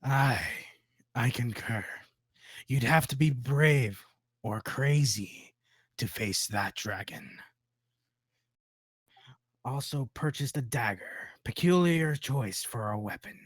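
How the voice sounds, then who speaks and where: A man's voice: medium pitch, animated, slow pace, long pauses, no room sound. Man as a goblin shopkeeper, sly, understated, knowing, dry close sound, fantasy table read. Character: shrewd, sly male goblin merchant.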